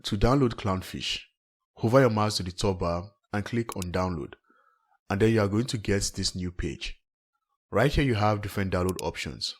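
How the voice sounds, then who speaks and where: Deep husky guy doing a step by step tech tutorial voiceover. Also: normal speed. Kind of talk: scripted step-by-step software tutorial narration.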